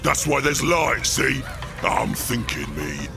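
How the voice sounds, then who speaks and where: A man playing a big, dim, boastful orc boss in an audio drama, with a blustering working-class London accent and gravelly voice. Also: mid-range voice, very animated, slow pace, music in the background, full character voice. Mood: smug, scheming. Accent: English, working-class London. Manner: blustering, rough ungrammatical speech.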